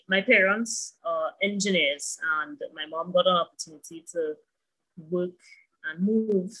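A woman's voice: fairly low pitch, a bit bright, rough recording. A woman in her thirties, a musician talking thoughtfully about herself as a guest in a casual interview. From Trinidad and Tobago.

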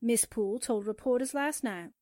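Naive, dreamy girl sidekick, played by an actress: high, wistful, gushing, old-time radio comedy-drama, dry sound. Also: normal speed, warm tone, no echo, lightly acted. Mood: gushing, tearful. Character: dreamy, gullible, sentimental young woman secretary sidekick.

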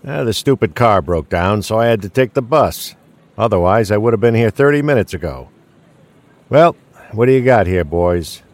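A man playing a gruff, weary older police detective near retirement in an audio drama, blunt and dry. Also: lowish voice, animated, moderate pace. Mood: casual, dry.

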